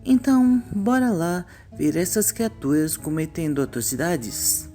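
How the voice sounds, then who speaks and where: A woman's voice: lowish voice, animated, a little slow, warm tone, faint music behind. A Brazilian woman hosting a scripted online commentary on horror animation, with a theatrical storytelling manner. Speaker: YouTube host.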